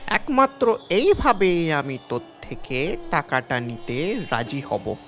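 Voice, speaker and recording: A man plays a sly cartoon villager putting on a pitiful, sickly act. The delivery is slow and halting, with big theatrical swings in pitch and pleading throughout. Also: big pitch swings, music in the background. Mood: coaxing. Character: wily village man feigning grave illness, pleading. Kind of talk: cartoon story acting.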